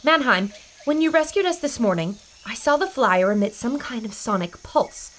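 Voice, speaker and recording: Brief: woman voicing a polite, resourceful adventurer, high and lively. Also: moderate pace. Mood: earnest. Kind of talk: old-time radio drama acting.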